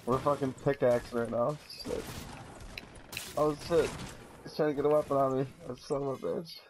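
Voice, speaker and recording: A man's voice: mid-range voice, steady tone, brisk. A man playing an online game, giving casual, slangy and profane callouts to his teammates. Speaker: video game podcast member playing online. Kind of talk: casual gaming chat with team callouts. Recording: faint noise and clicks on voice chat.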